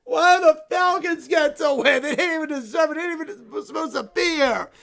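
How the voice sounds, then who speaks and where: A woman voicing a furious little boy teddy bear, wailing and shouting his complaints through sobs. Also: a little high, expressive, takes it slowly, creaky, pitched below the performer's own voice, heavily acted. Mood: whiny tantrum.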